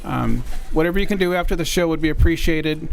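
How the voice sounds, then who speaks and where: A man's voice: on the high side, quick pace, creaky, low-quality recording. A man welcoming an audience at a museum event, speaking informally with occasional fillers. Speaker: event organizer.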